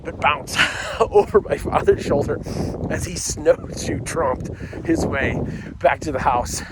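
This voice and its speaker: A man's voice: fairly high pitch, slow, heavy background noise. A middle-aged American man telling a comic personal story in a casual, rambling, self-deprecating way with frequent asides.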